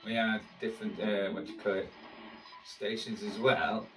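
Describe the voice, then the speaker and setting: A man's voice: on the low side, flat delivery, moderate pace, fairly bright tone. A man chatting casually as he tunes a shortwave radio, with music playing from the set. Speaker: shortwave radio hobbyist presenter. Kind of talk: casual chat while tuning a radio. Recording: roomy, distant mic, music behind.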